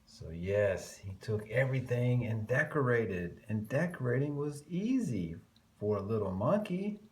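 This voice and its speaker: A man with a mid-pitched voice reads a children's story aloud at an unhurried pace. His delivery is very lively, with drawn-out emphasis on key words and chatty asides to the young listeners. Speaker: children's picture-book reader.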